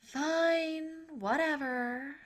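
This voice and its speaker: Woman playing a whiny, high-pitched, snappish girlfriend. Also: very slow. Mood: irritable. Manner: complaining, dramatic. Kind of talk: cheesy horror audio drama acting.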